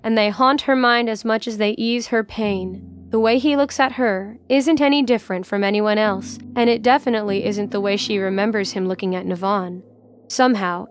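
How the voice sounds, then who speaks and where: A woman's voice: medium pitch, slight background noise. A woman reading scripted third-person narration for a fantasy audio drama.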